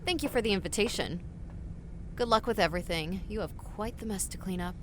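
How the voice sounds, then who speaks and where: A woman's voice: medium pitch, slight background noise, close to an everyday voice. A woman voicing a cold, smug spy leader who threatens her captors in an audio drama. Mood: mocking. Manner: smug, menacing, measured.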